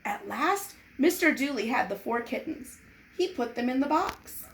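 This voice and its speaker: A woman reading a children's storybook aloud in an expressive, deliberate, dramatized way. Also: high voice. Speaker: storybook reader. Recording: noticeable background noise.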